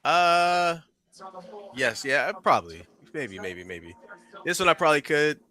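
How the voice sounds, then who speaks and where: A man's voice: on the high side, animated, measured pace, bright, forceful tone. A man co-hosting a casual podcast, reviewing an album in a laid-back, hedging way. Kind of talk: casual music review chat.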